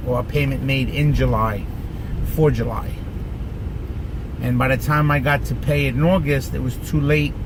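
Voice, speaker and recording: A man in his forties telling a chatty, exasperated story to his viewers from inside his car. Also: medium pitch. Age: 40s. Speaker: vlogger. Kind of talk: casual rant to camera. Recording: steady air conditioning hum.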